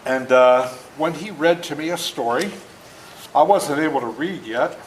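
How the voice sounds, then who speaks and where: A man in his seventies with a slightly high-pitched voice tells stories to children. He is plain-spoken and deliberate, like an author reading aloud to a young group. The room is echoey, with faint noise behind him. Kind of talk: storytelling talk and read-aloud to children.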